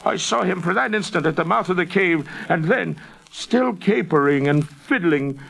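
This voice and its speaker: An old shepherd, acted out by a man, gives his eyewitness account of a ghostly sight. The voice is lifted and husky, with a haunted, awestruck intensity fit for a dramatic folk tale. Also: high voice, animated, normal speed, a bit bright, voice pushed up, heavily acted. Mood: awed, agitated.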